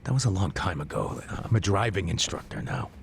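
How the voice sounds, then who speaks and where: An actor playing a gruff, down-to-earth middle-aged ex-cop in an English game dub, blunt and casual. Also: male voice, low-pitched, animated, a little fast, a little hiss or hum. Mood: easygoing, blunt. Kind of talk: English video game voice acting.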